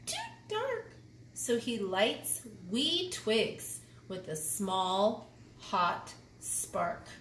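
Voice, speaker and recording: A woman reads a children's picture book aloud at a slow pace, with an expressive, lively delivery. She pauses to explain things to young listeners. The room is echoey, with faint noise behind her. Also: on the high side. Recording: roomy echo with faint background noise.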